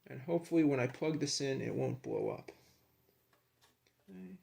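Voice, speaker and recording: A man casually narrating as he works with his hands, in a creaky voice, absorbed and admiring, dropping murmured asides. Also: medium pitch, normal speed, a little mellow. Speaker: hobbyist demonstrating a radio rebuild.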